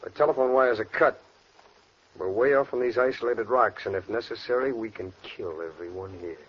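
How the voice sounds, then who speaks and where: An actor playing a ruthless, bossy armed robber in a 1940s radio crime drama, talking in rough, threatening slang. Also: male voice, mid-range voice, moderate pace, lightly acted. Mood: menacing. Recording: noisy muffled old radio recording.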